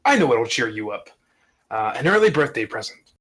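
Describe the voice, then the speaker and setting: A man's voice: mid-range voice, big pitch swings, fairly quick, fairly bright tone, breathy edge. A man playing a devoted fatherly dragon in a fantasy script table read, with earnest, grandiose storybook phrasing. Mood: reassuring.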